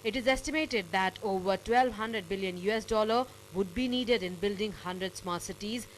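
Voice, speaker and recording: Female reporter, Indian English accent, scripted news voice-over, average pitch, slight background noise. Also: normal speed, low-quality recording.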